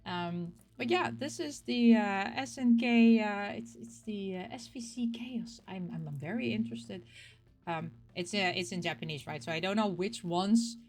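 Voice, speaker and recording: A middle-aged woman chatting casually as a game collecting show host, rambling and animated, often questioning herself aloud. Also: medium pitch, moderate pace. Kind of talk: casual rambling vlog chat about game pick-ups.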